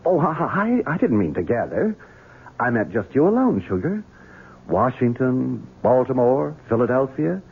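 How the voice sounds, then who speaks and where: An actor playing a scheming gentleman in a 1970s radio drama, honeyed and wheedling with a sinister edge. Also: medium pitch, big pitch swings, normal speed, creaky, husky, heavily acted. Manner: honeyed, wheedling, turns commanding. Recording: lo-fi phone-like old radio broadcast.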